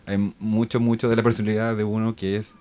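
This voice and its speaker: Reflective Chilean man, low voice. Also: level tone, a little mellow, clean tone. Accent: Chilean Spanish. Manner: reflective, halting, restarts words often. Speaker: guest. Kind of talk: casual podcast conversation.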